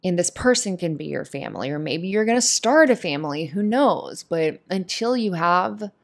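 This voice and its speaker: A woman with a slightly low voice talks as if directly to one listener, giving comforting advice. Her manner is earnest and opinionated, and she leans on her points with emphasis in a casual monologue. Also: expressive, moderate pace, creaky.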